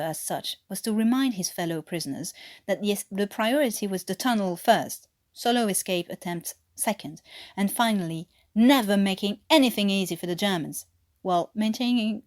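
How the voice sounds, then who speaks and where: Female story narrator, native French speaker attempting English accent, expressive, lively pitch movement. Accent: French-tinged English. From France. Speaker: fan fiction author reading aloud.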